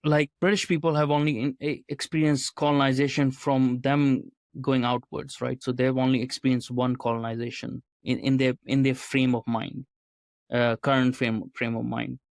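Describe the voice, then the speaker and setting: A man's voice: medium pitch, flat delivery, normal speed, gravelly, no room sound, clean studio sound. A man being interviewed on a podcast, talking earnestly and often checking in with a questioning "right?". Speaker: podcast guest, writer and commentator.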